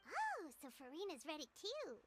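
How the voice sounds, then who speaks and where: A woman voicing a tiny, excitable, childlike companion creature with a squeaky voice in a video game. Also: normal speed, over music, heavily acted. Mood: curious. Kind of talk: acted video game dialogue.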